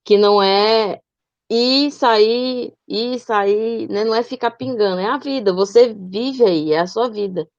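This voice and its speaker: A woman's voice: on the high side, a little slow, no echo, highs cut off. Affirming woman interviewer, dry sound. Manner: affirming, asks follow-up questions. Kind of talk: conversational church interview.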